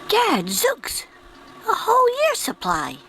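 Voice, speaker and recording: A man voicing an eager, hungry young boy who shouts with excitement in a storybook reading. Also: very high-pitched, slow, slight background noise, voice pushed up, exaggerated voice. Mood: excited shouting. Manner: excitable, exclamatory.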